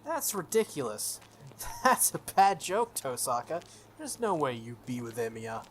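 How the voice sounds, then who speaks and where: Gamer doing a snotty bully schoolboy from a visual novel, contemptuous, pitch jumps around, bit of noise. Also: very animated, a little slow. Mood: dismissive. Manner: contemptuous, haughty, needling. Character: arrogant, bullying, sneering teenage schoolboy.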